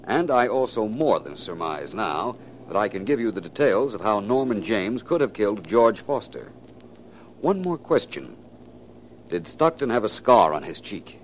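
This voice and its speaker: An actor playing an urbane, self-assured detective with polished, wry, precise speech, in 1940s radio mystery style. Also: male voice, fairly low pitch, very animated, normal speed. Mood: probing, confident. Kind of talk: 1940s radio crime drama acting. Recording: noisy vintage radio recording.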